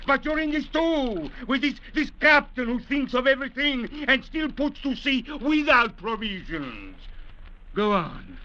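Snarling, sharp-voiced brute of a convict. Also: male voice, extremely high pitch, sing-song, a little slow, full character voice. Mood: enraged. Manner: snarling, belligerent. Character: hot-tempered, brutish young escaped convict, sullen and contemptuous. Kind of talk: 1950s radio drama acting. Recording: dull, noisy vintage radio recording.

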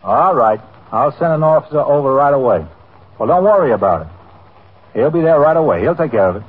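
An actor playing a brisk, businesslike police desk sergeant in a 1950s radio police drama. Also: male voice, a little high, animated, lightly acted. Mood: brisk, patient. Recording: noisy, tinny old 1950s radio broadcast.

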